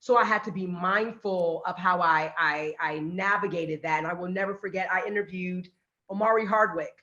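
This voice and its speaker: Woman telling a lively story, echoey. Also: lowish voice, normal speed, highs cut off. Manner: animated storytelling, acts out quotes. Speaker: panelist, radio and podcast host. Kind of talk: panel talk, personal story.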